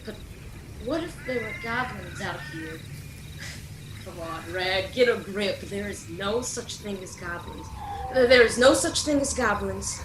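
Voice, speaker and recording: A woman's voice: mid-range voice, animated, measured pace, echoey room, noisy background, natural voice. A woman playing a headstrong, naive young fairy-tale girl with exaggerated modern sass in a comic radio play. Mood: curious, nervous.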